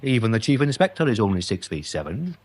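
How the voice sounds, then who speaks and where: A deferential English police sergeant with a deadpan wit. His pitch moves around a lot as he explains things pedantically, in British radio-play style. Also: quick pace. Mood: deadpan, probing. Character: polite, dry-witted police sergeant, quietly exasperated. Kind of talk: British radio comedy-mystery drama acting.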